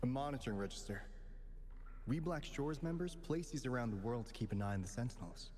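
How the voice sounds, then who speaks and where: An actor voicing a theatrical, playful and knowing guardian of a secret order in a video game, with dramatic pauses and flourishes. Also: male voice, medium pitch, animated, darkish tone, big-room sound, slight background noise, lightly acted. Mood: pensive, curious.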